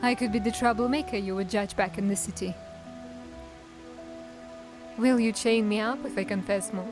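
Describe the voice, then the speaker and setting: A woman playing a wry, guarded dark elf assassin in a cinematic fantasy drama, with a musing, teasing manner. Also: mid-range voice, fairly even intonation, moderate pace, music in the background, natural voice. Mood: playful.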